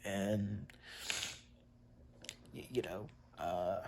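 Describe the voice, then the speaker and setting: A congested man talks casually to his viewers, pausing often and restarting his sentences. His voice is low and gravelly, and his pace is slow. A faint hiss sits under the recording. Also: little pitch movement, mellow. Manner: halting, many fillers and restarts. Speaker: vlogger. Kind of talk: casual vlog chat to viewers.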